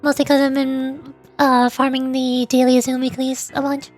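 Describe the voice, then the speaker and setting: High-pitched woman, chatty game streamer, rambling casual talk, faint music behind. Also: monotone, normal speed. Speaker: streamer, VTuber. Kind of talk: casual livestream chat while gaming.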